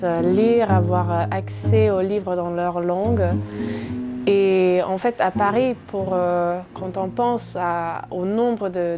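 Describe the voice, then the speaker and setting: A woman in her thirties, a bookshop owner, talking hesitantly in a documentary interview. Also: medium pitch. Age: 30s. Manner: hesitant, searching for words. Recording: guitar music playing underneath. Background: guitar music.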